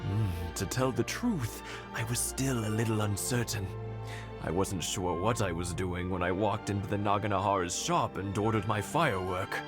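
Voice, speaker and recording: A gentle old village husband, played by a man, with a low, unhurried voice who fondly retells how he proposed long ago. Game dialogue over loud background music. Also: natural voice. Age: older. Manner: warm reminiscing storyteller, soft chuckles. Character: kindly elderly village husband, gentle and nostalgic. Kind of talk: game voice acting.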